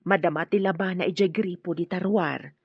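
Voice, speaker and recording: A woman's voice: on the low side, fairly even intonation, moderate pace, husky, no room sound, muffled recording. A woman with a Filipino accent playing a protective middle-aged mother who keeps a small store in a radio drama. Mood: solemn. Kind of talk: Ilocano radio drama acting.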